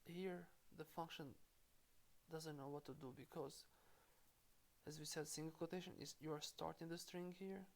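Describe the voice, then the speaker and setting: A woman's voice: deep voice, steady tone, moderate pace, a little mellow, low-quality recording. A woman from Albania with an Albanian accent, teaching programming in a screen-recorded tutorial and spelling code out step by step. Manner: explains step by step, spelling out code aloud, with small self-corrections.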